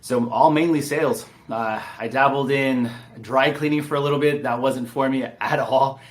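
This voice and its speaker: A man's voice: medium pitch, fairly even intonation, moderate pace. A man from San Diego, telling his story informally and personably as a podcast guest. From San Diego, California. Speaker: podcast guest, real estate entrepreneur. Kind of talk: casual interview storytelling.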